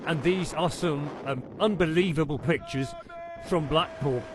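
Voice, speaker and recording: A man playing a TV news anchor covering a storm disaster, halting and stumbling over his words. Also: natural voice. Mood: grave, halting. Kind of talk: amateur mock breaking news report. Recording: noisy, windy background rumble.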